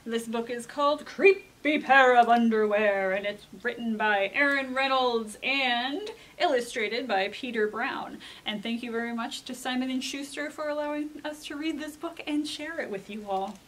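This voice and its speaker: A woman with a fairly high voice speaks as a children's librarian. She presents in an emphatic, upbeat way, as if guiding young visitors through a prepared tour. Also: moderate pace, few pauses, fairly bright tone, poor audio. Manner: enthusiastic, emphatic. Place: indoors in a public library.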